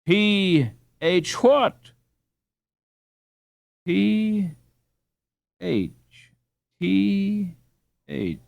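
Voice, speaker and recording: A man's voice: high-pitched, sing-song, very slow, vocal fry, pauses often, no echo, pitched above the performer's own voice, full character voice. A man voicing a confident young man in a storybook, self-assured yet hesitant as he speaks. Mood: puzzled.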